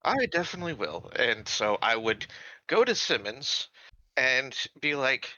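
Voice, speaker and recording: A man playing a tabletop roleplaying game, talking casually and haltingly as he thinks aloud. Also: mid-range voice, very animated, pushed and bright, dry recording, dull audio.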